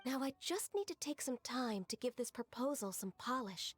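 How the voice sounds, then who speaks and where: A woman plays a dutiful young civil secretary from a video game. Her voice is high and gentle. She speaks formally, choosing her words with measured, polite care. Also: lively intonation, faint music behind, natural voice. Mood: decisive.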